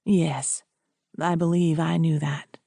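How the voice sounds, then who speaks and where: A composed, kindly priest voiced by a woman narrator speaks in a lowered, mellow tone. He is gentle and formal, choosing his words deliberately, like a character in a storybook reading. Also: flat delivery, creaky, no echo, clean studio sound, heavily acted. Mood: knowing, soft.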